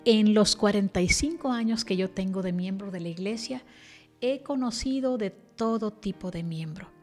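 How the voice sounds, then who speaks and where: A woman's voice: medium pitch, normal speed, darkish tone. An older woman speaking in an earnest, reflective way as she presents a devotional scripture lesson to camera. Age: older, about 60. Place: indoor room, seated at a desk. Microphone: desk microphone. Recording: music playing behind the voice. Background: background music.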